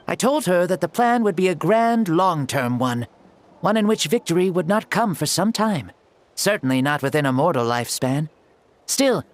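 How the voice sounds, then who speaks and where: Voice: mid-range voice, lightly acted. An actor playing an ancient hero who inhabits a young boy's body in a video game, theatrical, jaunty and self-assured.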